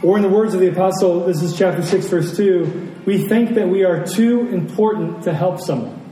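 A man's voice: sits high, normal speed, mellow. An American man giving a conversational sermon as a pastor, speaking reflectively and repeating phrases for emphasis. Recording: roomy, distant mic, faint noise.

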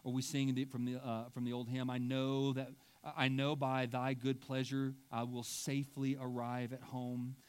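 A man's voice: medium pitch, monotone, moderate pace, hardly any pauses, darkish tone, clear, resonant tone. A middle-aged man preaching a sermon in a church, conversational and self-deprecating, often checking in with "right?" and stumbling through restarts when he digresses. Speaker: pastor. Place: church sanctuary.

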